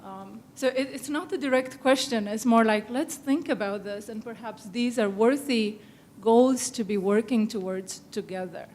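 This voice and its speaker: A woman, a safety researcher, making earnest, measured remarks on a panel and laying out her points as lists. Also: a little high, a little mellow, slight background noise. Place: conference room panel.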